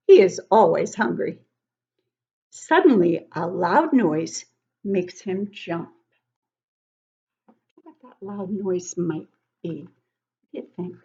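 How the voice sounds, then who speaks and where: Through slightly muffled, lo-fi sound, a woman reads a picture book to young children at an unhurried pace. She sounds like an encouraging teacher, giving the text clear emphasis and chatting directly to her little listeners with questions and asides. Her pitch sits in an ordinary range for a woman. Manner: expressive, emphatic when reading. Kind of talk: read-aloud storybook narration with questions to children. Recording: dull, lo-fi sound.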